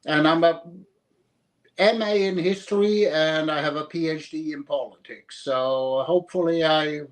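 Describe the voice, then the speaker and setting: Slow, halting man in his 50s. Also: fairly high pitch, fairly even intonation. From Sweden. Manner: halting, repeats words while thinking. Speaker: guest author. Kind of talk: podcast interview.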